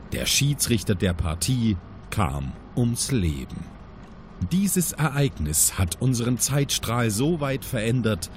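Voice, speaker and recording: An actor playing a solemn mission briefer of a secret time agency, formal and grave, with dramatic pauses in a scripted audio drama. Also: male voice, medium pitch, darkish tone, a little hiss or hum.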